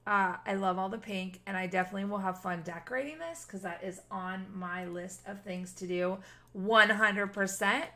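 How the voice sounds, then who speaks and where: A woman hosting a gaming video with casual, gushing, expressive commentary aimed at her viewers. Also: mid-range voice, normal speed.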